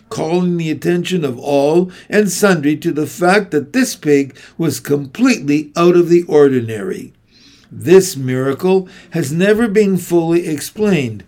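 A man doing a booming fairground announcer. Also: a little mellow, close to an everyday voice. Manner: grand, formal, booming.

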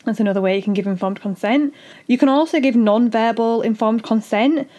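A woman with a husky, fading voice, hosting an educational podcast and explaining things earnestly, step by step. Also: a little high, brisk, dark tone. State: husky voice after illness. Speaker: podcast host and doula. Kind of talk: solo educational podcast talk.

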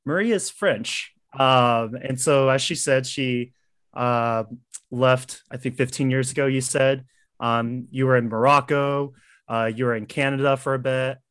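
A man's voice: medium pitch, fairly even intonation, measured pace, fairly bright tone, smooth, dry recording. Male podcast host, casual and upbeat, dry close mic. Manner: upbeat, friendly, fillers. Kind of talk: podcast interview.

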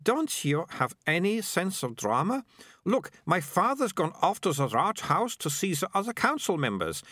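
A bossy, self-assured young girl in a storybook, voiced by a male narrator. The voice is raised high and leaps about with dramatic insistence, recorded dry and close. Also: sing-song, normal speed, a bit bright, dry recording, heavily acted. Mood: insistent.

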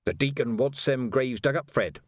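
A man's voice: mid-range voice, expressive, moderate pace, a bit bright, dry recording, clean studio sound, pitched above the performer's own voice. A man voicing a morbid, sulky, pleading gravedigger in an audiobook. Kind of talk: audiobook character voice.

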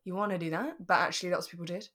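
A woman's voice: lowish voice, fairly even intonation, very fast, fairly bright tone. A British woman co-hosting a podcast in a casual, chatty style, speaking informally and with emphasis. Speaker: podcast co-host.

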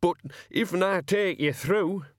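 A man's voice: high voice, slow, dry recording, clean studio sound, voice pushed up. A man voicing a nervous, hesitant coach driver in an audiobook. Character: timid, flustered male coach driver.

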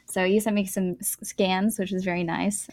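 Female podcast host, conversational interviewer, gravelly fry, dry studio-like sound. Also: medium pitch, level tone, moderate pace, a little mellow, no room sound.

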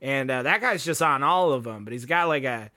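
A man's voice: mid-range voice, lively intonation, brisk, sharp tone, no room sound, studio quality. A man co-hosting a music podcast, talking loosely with lots of fillers, restarts and swearing. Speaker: podcast co-host. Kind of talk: casual music chat.

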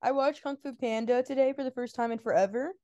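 A young woman with a slightly high voice chats casually as a podcast co-host. She is playful and slangy, often sounding mock-incredulous as she banters. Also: quick pace, warm tone, muffled recording. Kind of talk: casual chat.

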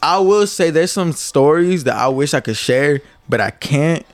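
A man's voice: a little high, expressive, normal speed. A man hosting a casual podcast with friends, laughing often and swearing freely. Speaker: podcast host.

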